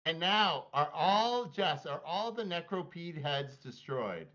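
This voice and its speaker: Man, high lively voice, game teacher, playful, lo-fi muffled sound. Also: takes it slowly. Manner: excitable, playful. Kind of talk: explaining a board game. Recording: dull lo-fi audio.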